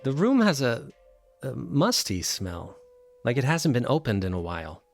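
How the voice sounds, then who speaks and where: An actor playing a troubled, bookish, melancholy man in an audio drama, speaking and narrating in a halting, introspective way. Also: medium pitch, wildly swooping pitch, soft background music. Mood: brooding, reflective. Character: troubled, bookish, melancholy man revisiting childhood holiday guesthouse.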